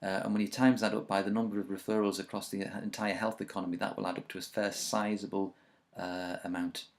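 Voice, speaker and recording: Man, fairly deep pitch, frequent vocal fry, informal tutorial narration, explanatory trainer delivery. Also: level tone, fast. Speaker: software trainer.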